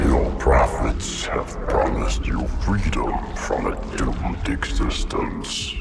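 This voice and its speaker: An actor playing a vast ancient male parasite intelligence, speaking slowly and menacingly in a deep gravelly voice, in a video game cutscene. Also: recorded from a distance, heavily acted. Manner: slow, commanding, ominous. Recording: loud score behind the voice.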